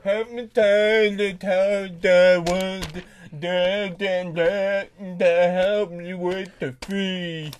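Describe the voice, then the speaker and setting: A man playing a childlike, confused, easily upset grown man on a prank call, halting and repeating words insistently. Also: high-pitched, flat delivery, a little hiss or hum. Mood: distressed, pleading.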